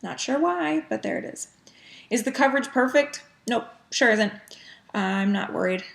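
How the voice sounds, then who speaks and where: A woman's voice: a little high, expressive, normal speed, vocal fry. A woman chatting casually as a cross-stitch vlogger, a little sniffly from a cold, with lots of ums and small laughs. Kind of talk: casual chat.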